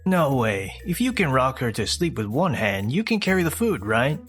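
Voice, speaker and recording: A low, lively-swinging voice a woman acting it out plays a lazy husband in a manga dub. He is selfish, offhand and dismissive, with soft music underneath. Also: moderate pace. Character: lazy, selfish adult husband, casually entitled.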